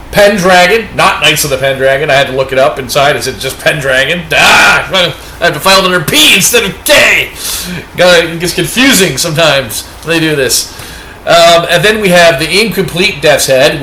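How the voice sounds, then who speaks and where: A man's voice: on the high side, bright, forceful tone, a little hiss or hum. A man with a Canadian accent chatting casually about his comic finds, rambling and upbeat, with many fillers. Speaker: comic book reviewer. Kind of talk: casual show-and-tell chat. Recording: echoey room.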